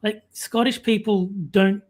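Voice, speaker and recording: A woman's voice: mid-range voice, flat delivery, a little slow, a little mellow. An Australian woman co-hosting a casual talk stream, chatty and full of fillers, reading viewer comments aloud. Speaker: podcast co-host reading chat. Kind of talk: casual chat.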